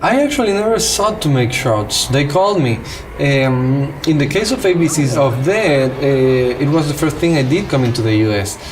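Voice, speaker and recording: A man's voice: medium pitch, animated, moderate pace, faint music behind. A man from Cuba with a Cuban accent and Spanish as his first language, a film director talking casually and animatedly in an interview.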